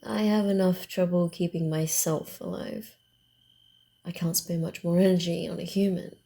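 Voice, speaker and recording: A woman voicing a sly, guarded, secretive female vampire in a hushed, theatrical and deliberate ASMR roleplay style. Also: on the low side, fairly even intonation, vocal fry. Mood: hesitant, guarded.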